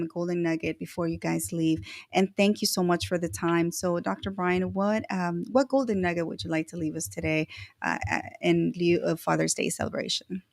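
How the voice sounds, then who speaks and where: A woman from El Salvador hosts a panel over a video call, her voice a touch low and very dry, with no room around it. She chats and jokes freely, filling gaps with casual fillers. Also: no echo. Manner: chatty, jokey, many fillers. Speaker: host.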